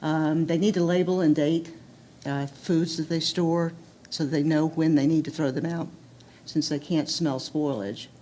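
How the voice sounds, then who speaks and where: A woman's voice: deep voice, steady tone, measured pace, mellow, slight background noise. An American woman speaking at a medical seminar, practical and direct, with frequent ums and uhs. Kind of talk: seminar talk.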